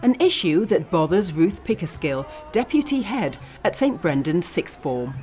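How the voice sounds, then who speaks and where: A woman reporting for a documentary, narrating and putting clear, inquisitive questions to students. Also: on the low side, sing-song, normal speed, a little mellow, faint music behind, some chatter behind. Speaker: reporter and interviewer.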